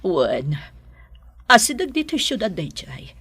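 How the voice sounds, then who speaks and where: A middle-aged woman with a Filipino accent playing a gentle, worried mother in an audio drama, with a husky voice. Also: medium pitch, very animated, a little slow, full character voice. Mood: gentle advice. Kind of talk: Ilocano audio drama acting.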